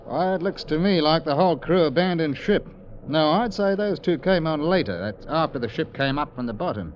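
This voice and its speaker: An actor playing a reserved, decent rancher stranded at sea in 1950s radio drama style, with a halting, understated delivery. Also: male voice, on the high side, lively intonation, close to an everyday voice. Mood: subdued. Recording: dull, noisy vintage radio recording.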